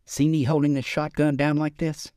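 A man's voice: a little high, level tone, normal speed, dark tone, no echo, clean studio sound, pitched above the performer's own voice, close to an everyday voice. A man voicing a sly, forgetful old country grandfather who talks in folksy rural dialect for a story. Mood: sly, instructing.

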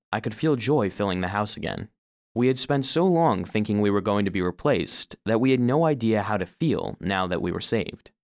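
Earnest, low-voiced robot storyteller. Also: male voice. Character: earnest, sensitive vacuum-cleaner robot telling his story. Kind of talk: scripted first-person storybook narration for children.

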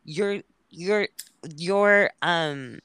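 A woman's voice: fairly low pitch, extremely slow, fairly bright tone, smooth, dry recording. A woman hosting a casual podcast chat, informal and slangy, with an enthusiastic way of talking. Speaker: podcast host.

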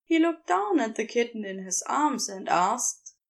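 A woman narrates a piece of fiction in a fairly high, highly expressive voice. Her delivery is unhurried, with a clearly creaky edge as she reads. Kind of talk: scripted story narration.

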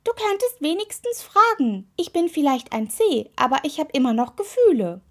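A woman voicing a grumpy, cheeky, excitable little male toe creature in a children's story, blunt and quick to exclaim. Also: very high-pitched, pitched above the performer's own voice, full character voice. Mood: indignant.

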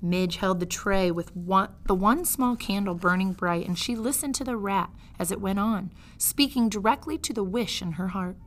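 A woman teacher reading a children's book aloud in an expressive storytelling style. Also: medium pitch, moderate pace, few pauses, slight background noise.

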